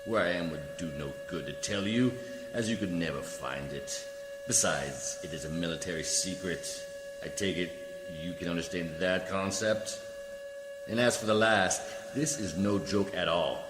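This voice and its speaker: A man's voice: sits low, huge pitch swings, a little slow, full character voice. A man acting a coolly formal, condescending alien judicial official in a science fiction radio play, speaking with precise diction. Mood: formal, unruffled. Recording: echoey hall reverb, music behind.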